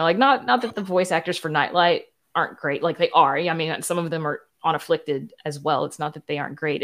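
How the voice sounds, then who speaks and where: Low-pitched woman, candid and explanatory. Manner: candid, explanatory, frequent "you know". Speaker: podcast guest, audio drama producer. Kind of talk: casual interview conversation.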